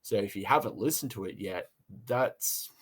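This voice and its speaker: A man's voice: on the low side, expressive, moderate pace, a crowd in the background. A man with an Australian accent hosts a podcast review in a casual, chatty way with a jokey, teasing streak.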